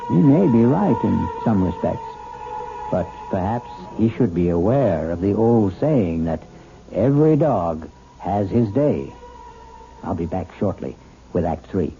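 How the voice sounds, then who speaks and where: A man hosting a 1970s radio drama with measured, dramatic scripted narration. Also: low voice, big pitch swings, gravelly, mellow. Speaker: host and narrator. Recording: dull old radio broadcast with music.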